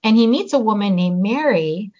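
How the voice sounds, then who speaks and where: A woman, born in Hungary, chatting as an interview guest and pausing to search for her words. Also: medium pitch, expressive, warm tone. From born in Hungary, raised in the US. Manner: reflective, pauses to search for words. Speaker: guest, novelist and teacher. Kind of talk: casual interview chat. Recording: slightly muffled audio.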